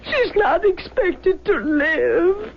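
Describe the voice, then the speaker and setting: Very high-pitched, weeping, grief-stricken sister. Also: female voice, expressive, drawn-out words, gravelly, faint music behind, exaggerated voice. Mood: tearful, distraught. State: crying. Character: grief-stricken, wailing sister bearing terrible news. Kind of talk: old-time radio drama acting. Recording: dull old radio broadcast.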